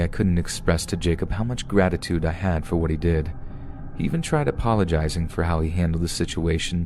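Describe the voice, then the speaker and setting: Deep-voiced man reading horror stories. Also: darkish tone, slight background noise. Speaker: narrator. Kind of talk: scripted first-person horror story narration.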